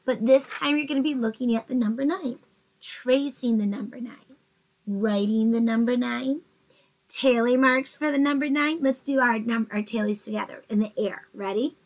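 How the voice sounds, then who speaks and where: A woman in her forties teaching a math lesson to young children from her bedroom, gentle and encouraging, often asking them questions. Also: fairly high pitch, moderate pace. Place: bedroom at home, indoors.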